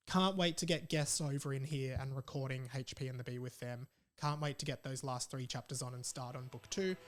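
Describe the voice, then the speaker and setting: A young adult man with an Australian accent chats casually and informally as he hosts his solo podcast. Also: a little high. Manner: informal and chatty, reads out listener messages. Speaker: podcast host.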